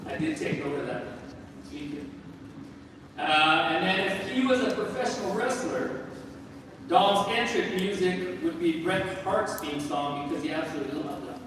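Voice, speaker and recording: A man's voice: high voice, lively intonation, a little slow, background noise. A man, a head basketball coach giving an informal, joking senior night speech full of "uh" fillers over a PA microphone in a large school gym. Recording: echoey gym from far off with crowd.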